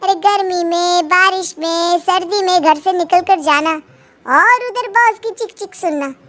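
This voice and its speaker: Lazy cartoon son-in-law, played by a woman: very high, bright, wheedling and cheeky, music underneath. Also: measured pace. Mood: self-satisfied. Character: lazy, whining live-in sons-in-law making excuses. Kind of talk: scripted cartoon moral-story dialogue. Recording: music behind the voice.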